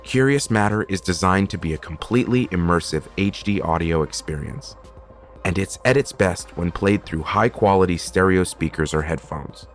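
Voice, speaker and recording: A man with a low voice delivers a scripted host introduction in an earnest, deliberate way. Faint music plays underneath. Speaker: host and adapter of the show.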